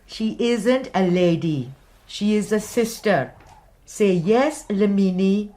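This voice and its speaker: Brief: a blunt, grumbling house servant, mid-pitched. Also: female voice, expressive, slow pace, mellow. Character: blunt, nostalgic old housekeeper. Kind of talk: radio drama acting.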